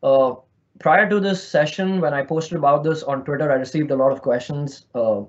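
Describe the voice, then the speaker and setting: A man's voice: on the high side, normal speed. Indian-accented man giving an online talk. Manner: friendly, explanatory, upbeat. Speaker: conference presenter. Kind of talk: virtual conference talk. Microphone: remote call mic. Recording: slightly dull online call audio.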